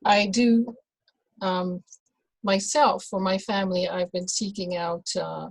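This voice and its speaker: Slow, hesitant Dutch-born woman. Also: medium pitch, steady tone, creaky, no echo. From Netherlands. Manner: slow and hesitant, many fillers and restarts. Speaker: guest, birth and rebirth doula. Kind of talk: casual conversational interview.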